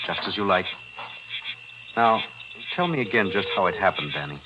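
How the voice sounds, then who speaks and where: An actor playing a shrewd, composed man who gives measured orders in a 1940s radio drama. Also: sits low, animated, noisy background. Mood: calm, serious. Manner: measured, commanding. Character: shrewd, composed man leading a grim search. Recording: muffled 1940s radio recording.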